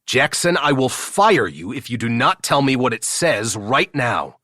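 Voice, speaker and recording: A man's voice: medium pitch, big pitch swings, unhurried, pushed and bright, dry recording, studio quality, pitched above the performer's own voice, full character voice. A man voicing an irritable, commanding billionaire boss with a terse, blunt, exasperated manner in an audiobook. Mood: annoyed. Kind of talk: audiobook character dialogue.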